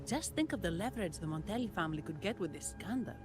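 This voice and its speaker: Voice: medium pitch, lively intonation, fast talker, natural voice. Voice actor as a matter-of-fact investigator in a game, mid-range voice, inquisitive, music behind. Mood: analytical. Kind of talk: video game dialogue acting.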